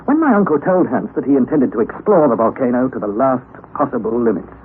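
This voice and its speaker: A man's voice: mid-range voice, very animated, lightly acted. Man as an earnest young narrator, tinny old radio. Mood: measured. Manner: measured, precise, emphatic. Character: earnest young nephew narrating his expedition. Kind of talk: scripted radio drama narration. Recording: noisy, tinny vintage radio recording.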